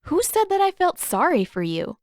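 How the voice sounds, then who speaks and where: A woman voicing a brisk, confident, teasing teenage girl in an audiobook story, with a sardonic manner. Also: high voice, wildly swooping pitch, no room sound, clean studio sound, voice pushed up, heavily acted. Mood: wry. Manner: sardonic, dismissive.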